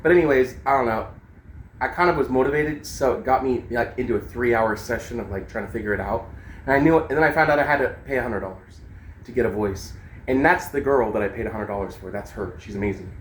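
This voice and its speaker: A man's voice: mid-range voice, fast. Casual rambling man, noisy home recording. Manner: informal, swearing, slangy, talking as they demonstrate gear. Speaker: vlogger and amateur songwriter. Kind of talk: casual rambling chat to camera.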